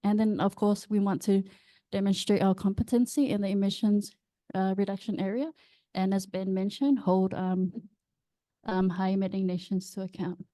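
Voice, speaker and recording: Flat, dark-voiced woman presenting. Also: medium pitch, normal speed, no room sound. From Samoa. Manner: steady, formal, with fillers. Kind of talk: workshop presentation.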